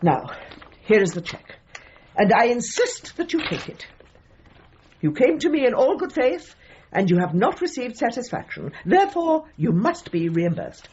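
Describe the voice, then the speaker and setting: An actress playing an opinionated older British dog trainer, a widow who speaks formally and precisely in a radio comedy drama. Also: female voice, fairly high pitch, sing-song, normal speed, a little mellow, lo-fi sound, a little hiss or hum. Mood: insistent. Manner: formal, emphatic, precise, old-fashioned phrasing.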